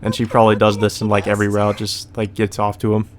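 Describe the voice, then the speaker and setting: A man's voice: on the low side, completely flat delivery, fast, slight background noise. A man doing a casual let's play, reading story text aloud and adding informal, sweary asides. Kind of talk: casual commentary and read-aloud narration.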